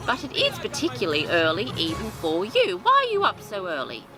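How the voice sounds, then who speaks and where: A woman's voice: mid-range voice, huge pitch swings, fairly quick. A woman voicing a friendly little male robin in a children's tale, polite, curious and chirpy. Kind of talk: storybook character voice.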